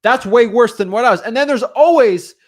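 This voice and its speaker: A man's voice: very high-pitched, a little fast. A young man hosting a real estate webinar, giving an emphatic motivational talk and mocking excuses. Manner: animated, emphatic, acts out excuses in mocking voices.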